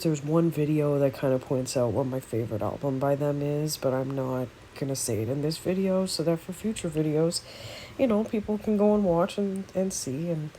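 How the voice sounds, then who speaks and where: A woman's voice: low-pitched, darkish tone, poor audio. This woman talks from the bottom of her range, her voice nasal and congested from a cold. She chats loosely and unscripted, drifting through asides and fillers as she builds a music tier list. A phone picks her up with a faint hum of room noise behind. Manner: rambling, chatty, many fillers and asides. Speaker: music vlogger. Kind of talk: casual unedited tier list commentary.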